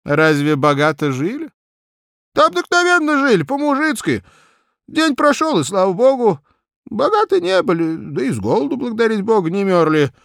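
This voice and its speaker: Storybook young peasant cabman, acted by a man: pampered, rambling, speech, raised pitch with big swings. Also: very animated, normal speed, gravelly, no room sound, studio quality, heavily acted. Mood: plaintive, nostalgic. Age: young adult. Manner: rambling, folksy, plaintive. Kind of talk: audiobook character acting.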